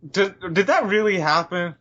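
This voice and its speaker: A woman's voice: medium pitch, animated, normal speed, a bit bright, muffled recording. A woman co-hosting a podcast, bantering casually about a film with blunt, exasperated sarcasm. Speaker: podcast co-host.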